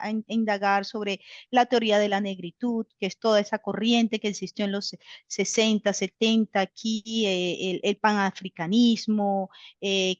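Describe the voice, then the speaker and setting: A woman talks reflectively, stopping often on fillers and restarting her sentences. Her recording sounds a little dull and close, with no room echo. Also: mid-range voice. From Colombia. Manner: thoughtful, many fillers and restarts. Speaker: author. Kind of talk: reflective interview answer.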